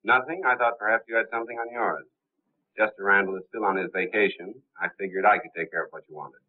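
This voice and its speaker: An actor playing a cool, suave gentleman sleuth in a 1930s film, speaking smoothly and politely on a tinny soundtrack. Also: fairly low pitch, steady tone, quick pace, close to an everyday voice. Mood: cool, courteous.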